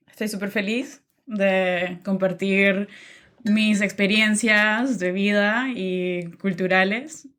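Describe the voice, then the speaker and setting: A young woman from Nicaragua, a podcast guest chatting casually about her life, with many filler sounds. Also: mid-range voice. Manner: relaxed, many "am" and "em" fillers, laughs easily. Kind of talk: casual interview chat.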